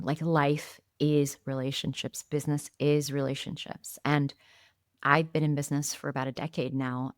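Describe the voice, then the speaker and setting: A woman in her thirties hosting an interview podcast, speaking reflectively and choosing her words deliberately, with repetitions for emphasis. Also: extremely low pitch, no echo, clean studio sound. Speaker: podcast host.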